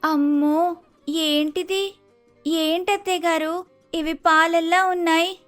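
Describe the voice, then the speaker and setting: A woman's voice: very high-pitched, steady tone, takes it slowly, a bit bright, faint music behind, full character voice. A woman voicing a polite, naive young daughter-in-law with an Andhra Telugu accent, exclaiming in a cartoon story. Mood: shocked. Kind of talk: acted dialogue in animated moral story.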